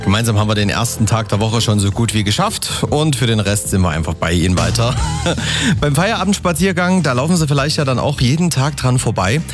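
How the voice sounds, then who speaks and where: A man's voice: mid-range voice, animated, fairly quick, music in the background. A man presenting on the radio in an upbeat, chatty way. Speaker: radio host.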